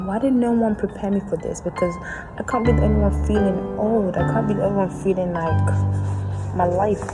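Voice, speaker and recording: A woman, talking reflectively as a personal vlogger. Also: mid-range voice. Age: early 20s. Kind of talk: personal reflective vlog talk. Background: prominent background music.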